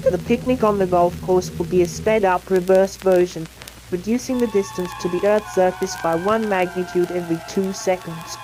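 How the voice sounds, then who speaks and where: A woman's synthetic AI voice giving scripted educational science film narration in a measured, explanatory manner. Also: lowish voice, rough recording. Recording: music playing under the voice. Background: music.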